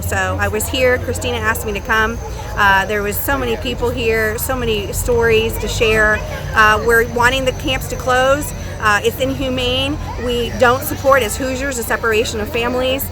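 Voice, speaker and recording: A middle-aged woman from Puerto Rico, an attorney, speaking plainly and earnestly, with pauses and fillers. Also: on the high side. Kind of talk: rally speech and interview. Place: outdoor street rally. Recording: outdoor rally with street noise.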